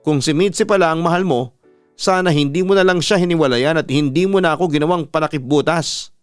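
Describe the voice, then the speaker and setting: A man's voice: fairly high pitch, a little fast, smooth, dry recording, soft background music, voice pushed up, close to an everyday voice. A man voicing a heartbroken young woman in a dramatic story, bitter, pleading and accusing. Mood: bitter, resigned.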